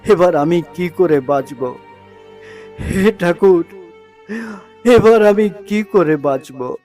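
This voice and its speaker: A man acts out a poor, devoted village father in an audio story, heartbroken and lonely. His voice is raised and slow, with pleading, drawn-out phrases. Faint music sits underneath. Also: high voice, lively intonation, mellow. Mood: anguished pleading.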